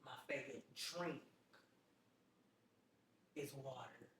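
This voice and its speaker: A man's voice: medium pitch, fairly bright tone, a little hiss or hum. A man who is a guest on a podcast, chatting casually and agreeably. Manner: agreeable, quick affirmations. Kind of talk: casual chat. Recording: echoey room, mic at a distance.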